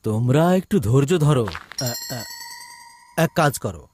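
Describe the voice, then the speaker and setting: A man's voice: medium pitch, moderate pace, noisy background, close to an everyday voice. A man voicing a stubborn, overconfident father who coaxes insistently in a cartoon dub. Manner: insistent, coaxing.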